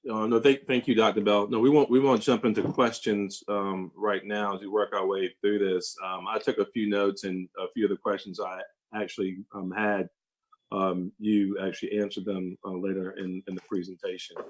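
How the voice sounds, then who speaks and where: Low monotone guy running a zoom meeting, lots of ums. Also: poor audio. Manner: halting, many fillers and restarts. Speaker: mayor chairing a meeting. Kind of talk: chairing a virtual meeting. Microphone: video call.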